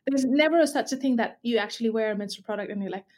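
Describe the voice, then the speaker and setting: A woman from Nepal, a company founder, talking as a guest in a relaxed, hesitant way with many restarts. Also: a little high, racing. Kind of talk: casual interview chat. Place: industrial area, indoors.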